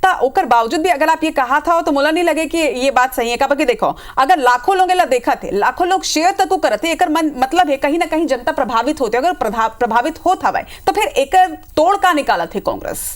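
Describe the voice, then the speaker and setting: A woman's voice: sits high, expressive, a little fast, few pauses. A woman with a Chhattisgarhi accent moderating a political TV debate as anchor, putting probing, insistent questions to the panel.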